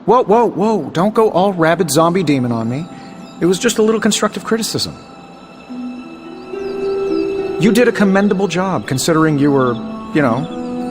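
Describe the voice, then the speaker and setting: An actor playing a flippant superhero inventor in a video game cutscene, sarcastic and chatty with nervous bravado and hesitant asides. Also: male voice, a little high, close to an everyday voice. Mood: flustered, conciliatory. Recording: loud music underneath. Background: music.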